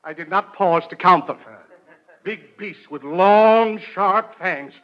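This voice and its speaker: An actor playing a pompous, melodramatic older uncle with overblown formal phrasing in a 1940s radio comedy. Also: male voice, sits high, slow. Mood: alarmed, indignant. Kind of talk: 1940s radio sitcom acting. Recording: dull, lo-fi vintage radio recording.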